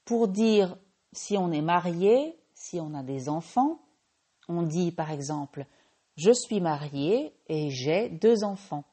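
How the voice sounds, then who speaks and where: A French woman who teaches French, hosting a slow, clear language-lesson podcast and patiently correcting her own small slips. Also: lowish voice, expressive, dark tone. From France.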